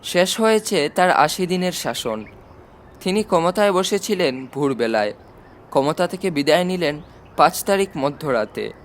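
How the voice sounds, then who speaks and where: A man with a high-pitched voice reads a scripted story as an audiobook narrator. Some faint noise sits behind his narration.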